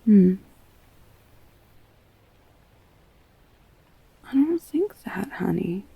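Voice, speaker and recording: A woman playing a tender, reassuring girlfriend in an intimate audio roleplay, speaking haltingly and softly with a breathy, husky voice. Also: mid-range voice, big pitch swings, no echo, exaggerated voice, low-quality recording. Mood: concerned, earnest. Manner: soft, halting, soothing, often near whispering. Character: tender, reassuring girlfriend comforting an upset partner.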